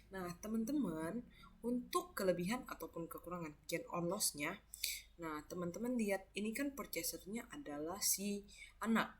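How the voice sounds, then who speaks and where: A woman's voice: lowish voice, slightly rough. A young woman with an Indonesian accent explains step by step as a tutor, conversationally, often asking questions and answering them herself. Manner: conversational, asks and answers own questions, frequent tag words. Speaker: accounting tutor.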